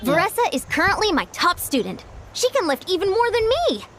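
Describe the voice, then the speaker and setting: Animated, upbeat young fitness coach. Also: female voice, extremely high pitch, brisk, soft background music, exaggerated voice. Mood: encouraging. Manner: confident, instructive. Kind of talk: video game voice acting.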